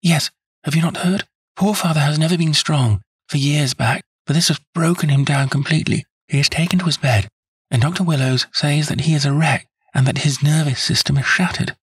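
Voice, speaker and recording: A man's voice: fairly quick, husky, no room sound. A man reading an audiobook, voicing an earnest young woman who pleads insistently in a raised, eager voice. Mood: pleading. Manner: breathless, insistent, high and eager. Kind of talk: audiobook character voice.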